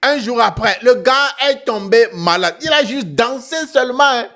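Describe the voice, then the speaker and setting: A high-pitched male voice with a West African French accent. He hosts an online show as an animated storyteller, talking straight to viewers. He hammers his points by repeating phrases and tossing in little questioning tags. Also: normal speed. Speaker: host of an online talk show.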